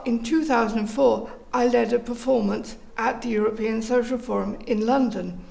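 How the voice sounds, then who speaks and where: A woman's voice: on the high side, steady tone, normal speed, gravelly, darkish tone. A woman answering interview questions and telling stories as the leader of a theatre group.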